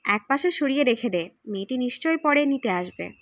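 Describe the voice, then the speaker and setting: A woman voicing a calm, teasing older adventurer uncle in an audiobook, patient and bantering. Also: high-pitched, brisk, no echo. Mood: instructive. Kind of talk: audiobook character acting.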